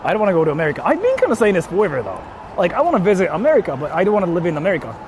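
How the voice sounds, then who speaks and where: High-voiced young Japanese man streaming outdoors. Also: huge pitch swings, very fast. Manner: repeats himself, informal, swears freely. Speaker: live streamer. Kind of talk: casual live-stream chat, answering viewer comments. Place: outdoors on a busy city street at night. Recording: street noise, traffic and crowd.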